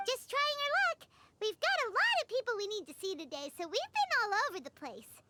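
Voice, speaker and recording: A woman's voice: very animated, brisk, very noisy. A woman voicing a tiny childlike fairy companion, chirpy and blunt, in a video game cutscene. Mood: cheeky. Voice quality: squeaky, childlike. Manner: refers to self in third person, exclamatory. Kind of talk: animated game cutscene acting. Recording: loud music behind the voice.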